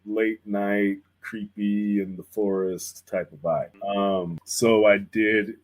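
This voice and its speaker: A man's voice: fairly low pitch, little pitch movement, slow pace. A man in casual conversation as a guest artist, telling stories in slangy language and acting out bits of remembered conversations. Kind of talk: casual chat, storytelling about creative work.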